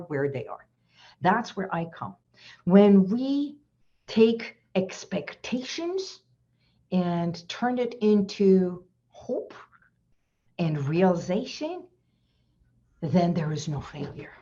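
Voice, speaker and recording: A woman's voice: mid-range voice, warm tone, muffled recording. A woman hypnotherapist giving an earnest, reflective inspirational talk as a guest in an online session, repeating her key phrases.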